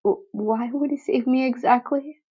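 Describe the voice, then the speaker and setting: A confused, wary teenage boy, acted out by a woman, stammering and unsure. The voice is slightly hoarse and hesitant, on a dull home recording. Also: high voice, normal speed, soft background music. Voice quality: somewhat hoarse.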